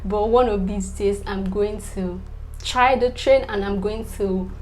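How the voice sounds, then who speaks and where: A woman's voice: medium pitch, fairly even intonation, measured pace, a little mellow, slight background noise. A young Nigerian woman whose first language is Yoruba, chatting casually to camera as a vlogger, full of fillers, repeating "definitely" and laughing between answers.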